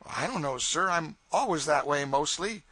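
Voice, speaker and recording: A man's voice: on the high side, animated, moderate pace, bright, forceful tone, no echo, pitched above the performer's own voice. A man voicing a scared young boy stowaway on a raft, polite and eager to please. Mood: nervous.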